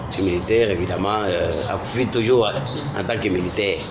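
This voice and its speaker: A Congolese lawyer being interviewed, speaking in a measured, hesitant way with many fillers. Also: male voice, low voice. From Congo (Kasai Central). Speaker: lawyer guest. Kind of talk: interview answers. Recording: clear background noise.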